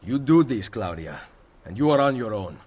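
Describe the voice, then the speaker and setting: An actor playing a commanding assassin leader in a video game, terse and firm, with an Italian accent. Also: male voice, on the low side, big pitch swings, normal speed, darkish tone, breathy edge. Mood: exasperated, stern. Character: commanding young-to-middle-aged male Italian assassin leader. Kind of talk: video game cutscene acting.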